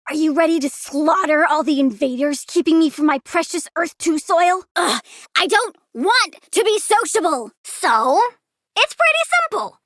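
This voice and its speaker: A woman's voice: high-pitched, fairly bright tone, dry recording, studio quality. A woman playing a childlike young plant-girl villain in a video game, whimsical and moody, cheerfully murderous. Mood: sulky, brisk. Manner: girlish, whimsical, sulky, gleefully menacing. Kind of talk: video game character acting.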